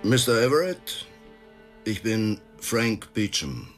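An actor playing a gentle, devout death-row prisoner in a radio drama, hesitant and repeating his words. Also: on the low side, sing-song, slow, faint music behind. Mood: halting.

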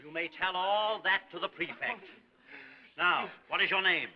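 A man's voice: sits high, moderate pace, close to an everyday voice. A man playing an officious, unbending middle-aged gendarme in a 1930s film. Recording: muffled old 1930s film soundtrack.

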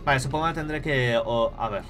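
A man's voice: medium pitch, fairly quick. Young male streamer from Spain, casual swearing play-by-play on a headset, game music running behind. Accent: Spain Spanish. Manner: swears freely, muttering to himself while playing. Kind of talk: casual gameplay commentary. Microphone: headset mic.

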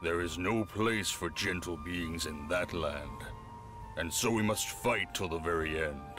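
Fantasy game orc male actor: grim, weary veteran, very low voice, slow solemn delivery, clear music underneath. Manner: solemn, archaic, deliberate speech. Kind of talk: fantasy game dialogue acting.

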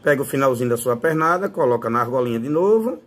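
Lively, slightly husky Northeastern Brazilian man. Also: medium pitch, quick pace. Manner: informal, fond of diminutives. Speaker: fishing channel host. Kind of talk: casual hands-on tutorial.

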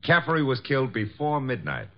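An actor playing a brash, wisecracking young private eye in a radio drama, with slangy, punchy talk. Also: male voice, mid-range voice, very animated, normal speed. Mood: brisk, puzzled. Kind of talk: 1950s radio detective drama acting. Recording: dull old radio broadcast recording.

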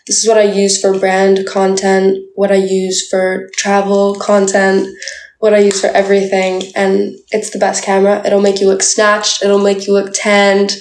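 A woman's voice: mid-range voice, darkish tone, roomy sound. A young woman from Canada chatting playfully with viewers on a livestream, full of "like" fillers, filmed on a phone held at arm's length indoors. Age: young adult. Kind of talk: casual live chat with viewers. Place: indoors, small room.

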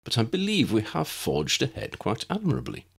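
A man's voice: medium pitch, wildly swooping pitch, moderate pace, creaky, fairly bright tone, breathy edge, pitched above the performer's own voice, full character voice. A man voicing a very old, courtly wizard uncle in an audiobook, formal, pompous and wry. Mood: droll, pompous. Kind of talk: audiobook character voice.

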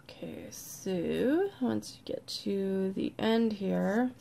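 A woman with a croaky, congested voice leads a step-by-step craft tutorial in a relaxed, chatty way, sometimes dropping to a near-whisper as she counts stitches. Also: mid-range voice, very slow. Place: indoors at a worktable, overhead camera on hands.

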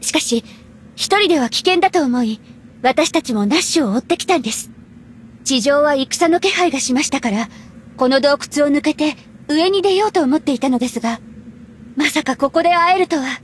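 Earnest young warrior woman, high voice, polite, formal, composed, anime game style, faint noise. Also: expressive, fairly quick. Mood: earnest, explanatory. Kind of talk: Japanese anime game voice acting.

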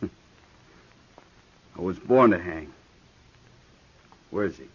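A man's voice: deep voice, lively intonation, very slow, a little mellow, slightly rough, full character voice. An actor playing a reckless hired gunman in a 1950s radio drama, drawling and threatening. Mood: menacing. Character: menacing hired gunman, grown man, reckless. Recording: dull old radio recording.

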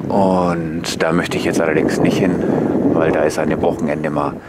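A man giving relaxed, musing, casual commentary to viewers as a vlogger on a bike tour. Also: extremely low pitch, moderate pace. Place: outdoors on rural paths and waterside. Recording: noisy outdoor recording with passing vehicles.

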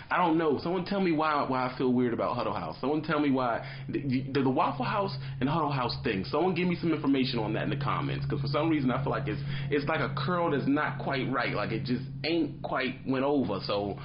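A man's voice: mid-range voice, fairly quick, highs cut off, slight background noise. A man in his thirties, a truck driver vlogging casually to camera in a small dim room, telling stories in slang and acting out little scenes. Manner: animated storytelling, slangy, acts out little scenes.

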